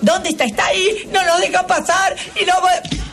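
An older woman with a Rioplatense accent, a tarot and astrology host telling stories in a chatty, animated way and laughing often. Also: very high-pitched, moderate pace, far from the mic, soft background music. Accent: Rioplatense Spanish. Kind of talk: casual chat.

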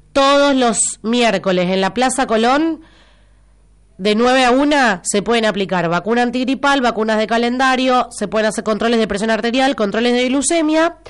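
An Argentine woman hosting a local radio show in a studio, speaking with a somewhat high, clear, smooth voice despite a stuffy nose from a cold. She talks in a chatty, teasing way and repeats key details for her listeners. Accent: Rioplatense Argentine Spanish. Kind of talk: casual live radio chat with listener messages.

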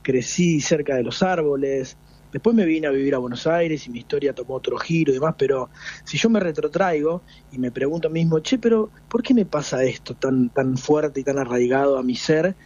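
A man's voice: fairly high pitch, brisk, a little mellow, highs cut off. A man in his forties with an Argentine Spanish accent, a folk singer being interviewed, talking reflectively with many restarts. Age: 40s. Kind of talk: radio interview.

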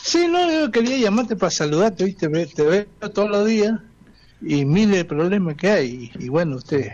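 High-voiced Argentine man on a dull phone call. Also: big pitch swings, moderate pace, a little mellow. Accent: Argentine, Mendoza-tinged. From northern Argentina. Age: middle-aged or older. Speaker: phone-in caller. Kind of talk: casual chat.

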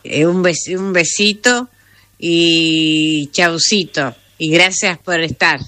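A woman's voice: on the low side, slow pace, pushed and bright, no room sound. A sleepy woman with an Argentine accent, just out of bed, leaving a chatty, casual message as a radio listener. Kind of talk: casual chat.

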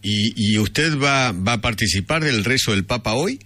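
A male host with a Rioplatense accent, running a news interview with brisk questions. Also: medium pitch, animated, bright, forceful tone, no room sound. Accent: Rioplatense Spanish. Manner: brisk, short acknowledgements. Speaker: radio host.

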